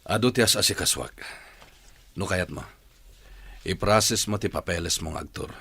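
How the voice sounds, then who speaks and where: Deep, husky male boss. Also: normal speed, pushed and bright, heavily acted. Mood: dismissive. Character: commanding well-off male boss, curt and preoccupied with business. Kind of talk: Filipino radio drama acting.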